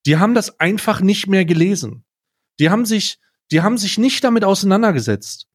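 A young man co-hosting a podcast, giving blunt, casual opinions in slangy words with halting starts. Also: high-pitched, expressive. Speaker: podcast co-host.

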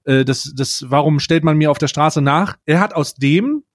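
A man's voice: fairly high pitch, moderate pace. A man co-hosting a casual comedic podcast, telling stories in a rambling, mocking way. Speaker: podcast co-host.

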